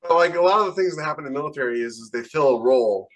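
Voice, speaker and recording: A man's voice: on the high side, animated, racing. A man talking casually as an author on a podcast panel, explaining his points conversationally.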